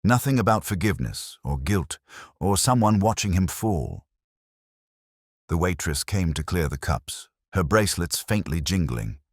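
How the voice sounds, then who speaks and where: A man with an extremely low voice narrates a scripted detective story in a measured, literary manner. His intonation moves widely despite the brooding delivery. The sound is dry and close, with very little room on it. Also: no echo.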